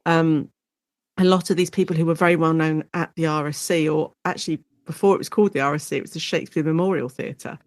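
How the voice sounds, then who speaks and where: A woman guest academic explaining her subject in a conversational interview, chatty and animated, thinking aloud as she goes. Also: sits low, fast, no echo, studio quality.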